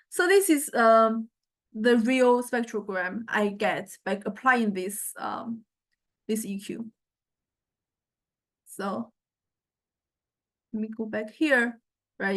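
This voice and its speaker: A woman giving an informal software demonstration as a lecturer, thinking aloud in a halting, self-correcting way. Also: fairly high pitch. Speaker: music technology lecturer.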